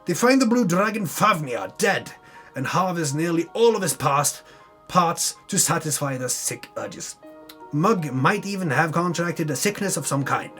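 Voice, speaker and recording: A man's voice: a little high, animated, a little slow, fairly bright tone. A man giving a dramatic reading of a tabletop game recap, theatrical in his telling, with wry asides. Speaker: reader of a tabletop campaign recap. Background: music under the voice.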